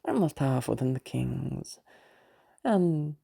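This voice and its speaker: A woman playing a sly, teasing female demon of lust in an audio roleplay, bargaining patiently in a breathy, creaky voice with halting pauses and whispers. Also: rumbling low, animated, warm tone, full character voice. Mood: smug, bargaining.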